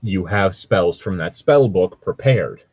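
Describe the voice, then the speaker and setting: Man, podcast co-host, lowish pitch, lively intonation, sweary dry complaints, casual. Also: slow pace, husky. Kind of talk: casual chat, reading and mocking fan fiction.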